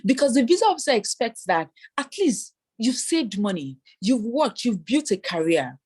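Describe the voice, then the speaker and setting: A woman's voice: fairly high pitch, big pitch swings, normal speed, darkish tone, breathy edge. A woman immigration consultant giving advice in an interview, explaining step by step and acting out what applicants say. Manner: explanatory, voices hypothetical applicants.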